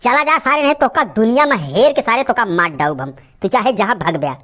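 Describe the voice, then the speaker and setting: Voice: mid-range voice, lively intonation, a little fast, a bit bright, lightly acted. A performer voicing a cantankerous, lazy village uncle in an Awadhi comedy cartoon, scolding and rambling with stubborn, abusive bluster. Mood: defiant. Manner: blustering, scolding, rambling rants full of abuse.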